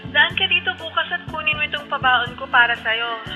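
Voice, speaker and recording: A woman's voice: high voice, brisk, natural voice. An actress with a Filipino accent playing a sassy young woman in a radio drama, music underneath. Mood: teasing, fond. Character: bright, teasing, bossy young woman.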